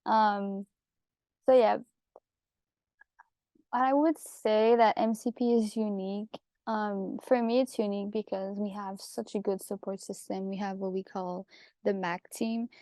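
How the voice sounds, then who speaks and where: Mellow young Québécoise woman, even-toned. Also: mid-range voice, unhurried, no echo. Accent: Quebec French. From Quebec, Canada. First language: French. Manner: chatty, relaxed, lots of "like". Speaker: pharmacy student panelist. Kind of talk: casual panel talk.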